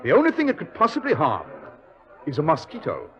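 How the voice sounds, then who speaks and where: Confident detective, crisp, commanding, lively delivery, muffled vintage radio. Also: male voice, fairly high pitch, brisk. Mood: calm. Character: cool, confident male detective. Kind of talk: 1940s radio acting. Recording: dull old radio recording.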